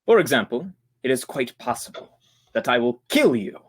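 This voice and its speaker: A man's voice: medium pitch, sing-song, normal speed, husky. A man playing a politely threatening older killer in an old-time radio drama, speaking formally and precisely. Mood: coolly menacing. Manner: formal, precise, quietly menacing.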